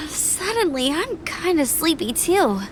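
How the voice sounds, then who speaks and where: A woman voicing a peppy, friendly young scout knight in a video game, girlish and expressive. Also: very high-pitched, very slow, a little hiss or hum, exaggerated voice. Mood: weary. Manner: bright-natured, girlish, expressive. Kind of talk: animated video game voice acting. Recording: game music behind voice. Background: game music.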